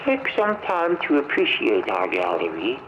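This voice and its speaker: Swooping, pompous zealot inventor. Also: male voice, mid-range voice, wildly swooping pitch, moderate pace. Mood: pious, instructive. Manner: grandiose, preachy, with archaic thee-and-thy speech. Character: pompous zealot inventor addressing noble guests, falsely gracious. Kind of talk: theatrical recorded speech in a video game. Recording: dull, noisy, radio-like playback of a recorded message.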